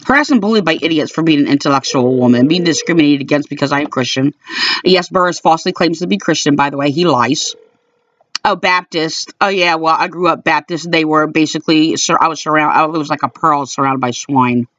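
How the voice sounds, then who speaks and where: A woman's voice: deep voice, fairly quick, a bit bright, no room sound, recorded from a distance. An American woman making an indignant, complaining monologue, recorded casually on a handheld phone. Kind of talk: casual aggrieved monologue to camera. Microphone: phone held in hand. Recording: dull lo-fi, off-mic handheld phone.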